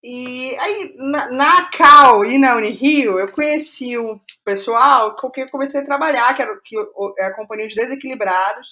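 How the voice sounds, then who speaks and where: A middle-aged woman with a Rio de Janeiro Brazilian accent, telling her story casually as an interview guest, halting and repeating phrases for emphasis. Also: high voice, bright, forceful tone. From Rio de Janeiro state, Brazil.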